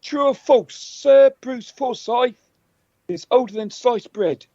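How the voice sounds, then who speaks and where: A man's voice: very high voice, takes it slowly, mellow, dry recording, muffled recording. A man co-hosting a comedy podcast, reading out quiz questions playfully and with stumbles. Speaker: podcast co-host and quizmaster.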